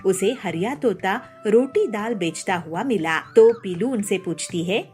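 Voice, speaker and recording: A woman's voice: mid-range voice, lively intonation, unhurried, warm tone, soft background music. A woman reading scripted narration for children's cartoon stories as the storyteller.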